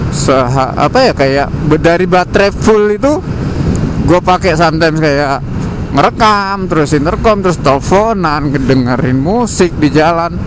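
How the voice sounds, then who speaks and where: A man, chatting informally in a halting solo vlog while riding, often repeating words for emphasis. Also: a little high. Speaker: motovlogger and product reviewer. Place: outdoors, riding a motorcycle on the road. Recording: loud motorcycle and road noise. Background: engine, traffic and outdoor noise.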